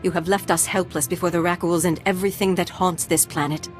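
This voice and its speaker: A woman's voice: lowish voice, fairly even intonation, a little fast, soft background music, close to an everyday voice. An actress playing a proud, aggrieved refugee leader in a video game, pleading formally and earnestly for her people. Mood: pleading, indignant. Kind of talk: dramatic scripted video game dialogue.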